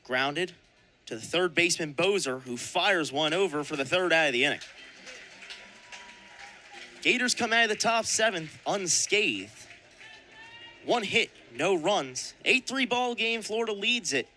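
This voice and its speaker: A young man calling baseball play-by-play for radio in crisp announcer phrasing with punched-up calls. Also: sits high, animated, unhurried, pauses often, pushed and bright. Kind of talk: live radio sports commentary.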